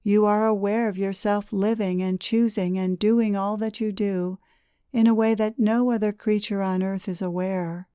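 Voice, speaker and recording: A woman leading a guided meditation, speaking in a gentle, soothing way with deliberate pauses. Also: medium pitch, steady tone, smooth, no echo, clean studio sound. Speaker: guided meditation leader, channeler.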